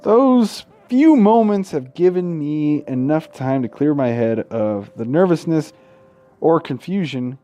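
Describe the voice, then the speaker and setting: A man's voice: a little high, animated, a little slow, dark tone. A man hosting a gaming video, reading visual novel text aloud and adding casual, irreverent commentary. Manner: irreverent, sweary heckling between read passages.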